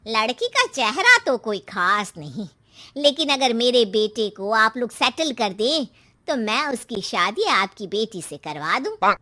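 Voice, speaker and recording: A woman's voice: fairly high pitch, very animated, unhurried, sharp tone, heavily acted. Woman acting a blunt, greedy suitor's mother. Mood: bargaining. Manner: blunt, bargaining.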